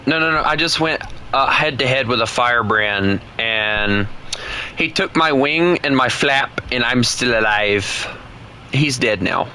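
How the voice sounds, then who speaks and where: A man's voice: mid-range voice, a little slow, pushed and bright, a little hiss or hum. A man streaming a flight game with a friend, chatting casually in a playful, teasing way. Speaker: gaming streamer. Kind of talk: casual gameplay chat with a friend.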